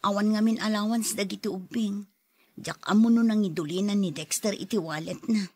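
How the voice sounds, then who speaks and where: A woman's voice: mid-range voice, fairly even intonation, brisk, a little mellow, breathy edge, dry recording. An actress playing a worn-down young wife and mother who pleads, in a Filipino radio drama with a Filipino accent. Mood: weary, pleading.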